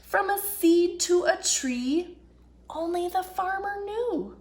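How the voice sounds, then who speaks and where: A woman's voice: extremely high pitch, expressive, takes it slowly, darkish tone, full character voice. A woman voicing a tiny, timid, earnest little seed in a children's storybook, speaking in plaintive, childlike phrases. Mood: wondering, grateful.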